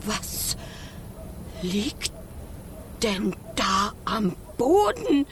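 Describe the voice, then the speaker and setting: A woman playing a fussy, plaintive hen keeper who is quick to scold, in a children's radio play. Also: mid-range voice, big pitch swings, slight background noise. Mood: horrified, outraged.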